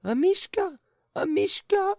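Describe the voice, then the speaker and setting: A man's voice: extremely high pitch, lively intonation, slow, a little mellow, no echo, studio quality, pitched above the performer's own voice, full character voice. A man reading an audiobook, voicing a distraught lady who shrieks and cries in frantic bursts. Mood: frantic shrieking. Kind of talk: audiobook character voice.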